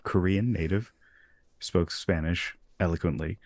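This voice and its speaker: A man hosting a casual interview, talking in a relaxed way and laughing easily between points. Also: low voice, unhurried. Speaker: host.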